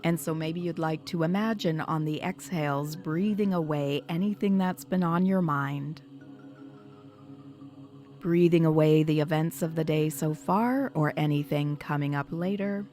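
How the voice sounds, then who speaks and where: A woman hypnotherapist leading a guided meditation in a soothing, measured, instructional manner. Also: sits low, warm tone. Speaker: hypnotherapist guiding a session. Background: background music.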